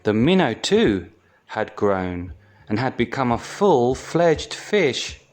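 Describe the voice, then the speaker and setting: A man reading a children's picture book aloud as storytime reader, deliberate and pausing between short phrases. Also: medium pitch, sing-song, soft background music.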